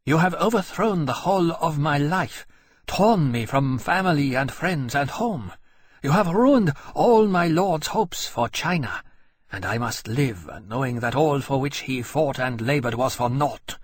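A man voicing a cool, disdainful female dragon who speaks slowly and bitterly. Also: a little high, expressive, husky, dry recording, pitched above the performer's own voice. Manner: cool, contemptuous, slow and measured.

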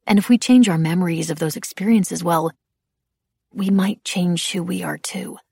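Lady playing a young witch girl in an audiobook, defensive and earnest, bit of gravel in it. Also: fairly low pitch, normal speed, dark tone, dry recording, studio quality. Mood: earnest, hushed. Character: defiant, guarded young witch heroine.

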